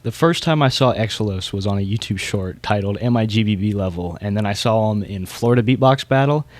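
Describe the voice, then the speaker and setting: A man's voice: fairly low pitch, fairly quick, dry recording. A man hosting a casual podcast, talking informally and knowledgeably about competitors in his field. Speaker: podcast host.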